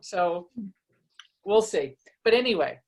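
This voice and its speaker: A woman's voice: on the low side, expressive, slow. A woman author talking casually about their books and reading aloud, in a chatty, self-deprecating, conversational way. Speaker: author presenting and reading from their own book. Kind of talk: casual book talk and read-aloud.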